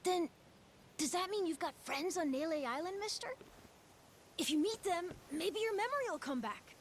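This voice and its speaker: Game role: kind, earnest little boy, high-pitched and talkative. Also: animated, moderate pace, slight background noise. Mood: curious, hopeful. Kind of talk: English video game voice acting.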